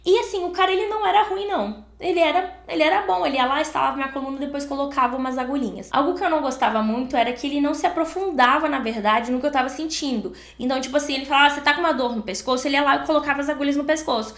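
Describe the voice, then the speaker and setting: A young Brazilian woman with a Brazilian Portuguese accent, talking straight to camera in a casual, animated vlog and acting out what other people told her. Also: high voice, rapid-fire, hardly any pauses. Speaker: vlogger. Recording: echoey room.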